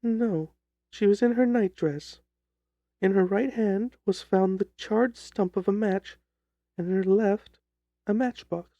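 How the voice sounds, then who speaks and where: Distressed young gentlewoman, played by a man, formal and theatrical, soft dark tone, dry close sound, audiobook drama. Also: high voice, slow, no room sound, muffled recording. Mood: earnest, troubled. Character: distressed young gentlewoman recounting her sister's terrifying death.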